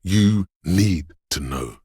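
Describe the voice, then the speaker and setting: Super deep husky paranoid inner voice for a video game, slow n ominous, like raw fear and menace talking. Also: male voice, lively intonation, warm tone, dry recording, clean studio sound, full character voice. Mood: aggressive, paranoid. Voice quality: gravelly, husky, breathy. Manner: slow, ominous, intense delivery. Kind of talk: dramatic video game voice acting.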